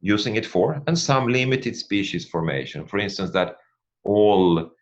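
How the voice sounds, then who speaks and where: A middle-aged man from Sweden with a Swedish accent gives an academic presentation, speaking haltingly and often repeating words as he searches for the next phrase. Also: on the low side, animated, lo-fi sound. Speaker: academic guest speaker, population geneticist.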